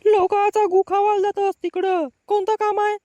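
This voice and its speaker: A woman's voice: very high voice, level tone, normal speed, warm tone, dry recording. A woman voicing a suspicious, nagging elderly village woman with a rural Marathi accent in a comic cartoon. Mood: nagging suspicion. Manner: nagging, scolding.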